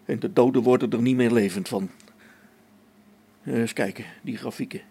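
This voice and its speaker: A man's voice: mid-range voice, normal speed, gravelly, warm tone, slightly rough. An actor playing a harried space station engineer in a radio play, thinking aloud as he reasons things out. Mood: defensive, searching.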